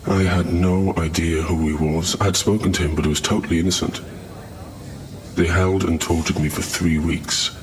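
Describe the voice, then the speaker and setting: An actor as a weary survivor of torture testifying in an audio drama. The man's voice is deep and his delivery measured and grave. A faint layer of noise sits behind him. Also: fairly even intonation, close to an everyday voice. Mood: grim, resigned. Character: weary torture survivor, falsely accused man giving testimony.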